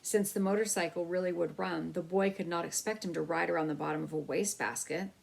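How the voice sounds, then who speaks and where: A woman storyteller reads a children's book aloud. Her voice is on the low side with a clear vocal fry. The narration is expressive. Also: quick pace.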